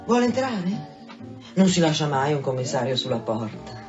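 Sultry, lilting brothel madam. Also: female voice, low voice, very animated, normal speed. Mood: playful, flirtatious. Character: sultry, teasing, proud female brothel madam. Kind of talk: radio drama acting. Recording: dull band-limited radio drama with music.

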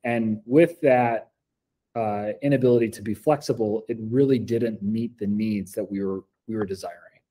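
Man, forties, American accent, lowish pitch, soft dark tone, measured earnest interview answers. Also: fairly even intonation. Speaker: school district program coordinator. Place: glass-walled office meeting room. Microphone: laptop video call.

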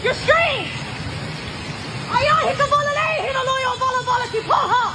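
A woman in a loud, defiant shouted confrontation outdoors. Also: extremely high pitch, normal speed, no echo, some chatter behind. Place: outdoors by a forest stream. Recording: noisy outdoor phone clip.

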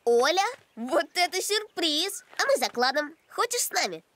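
A friendly little boy from a children's cartoon, with a high, lively voice. He sounds earnest and sensible, recorded dry with faint music behind. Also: normal speed, no echo, full character voice. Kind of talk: animated children's cartoon acting.

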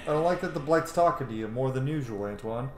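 A man's voice: medium pitch, animated, quick pace. A man in his thirties giving casual gameplay commentary as a streamer. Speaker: game streamer. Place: small room indoors, webcam view. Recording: echoey room, clear background noise.

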